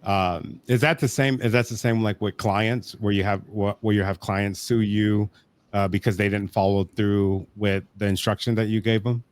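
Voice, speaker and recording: A man with a fairly low voice hosts a podcast, reacting with a string of admiring "wow"s. His questions come out in restarts and trailing phrases, recorded close and dry with no room sound. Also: fairly even intonation, moderate pace, no echo. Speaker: podcast host. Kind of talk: casual interview talk.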